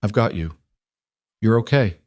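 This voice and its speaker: A man's voice: on the low side, lively intonation, unhurried, no room sound, clean studio sound. Man voicing a tender, guilt-ridden woman. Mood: soothing. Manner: gentle, careful, earnest.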